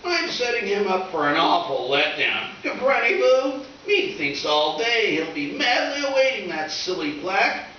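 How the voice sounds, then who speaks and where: Scheming teenage boy, very high bright voice, theatrical whine, radio comedy, echoey stage. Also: very animated, moderate pace, hardly any pauses, muffled recording, heavily acted. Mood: excited scheming. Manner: whiny, exclamatory, theatrical pleading. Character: scheming, melodramatic teenage schoolboy with comic slang. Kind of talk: old-time radio comedy acting. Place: live stage performance in a reverberant room. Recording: echoey distant stage recording with hiss.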